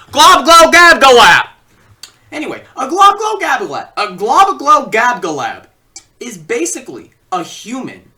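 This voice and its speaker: A woman's voice: medium pitch, sharp tone, far from the mic. A woman narrating an informal comedic review as a video reviewer, with joking, mock-dramatic asides.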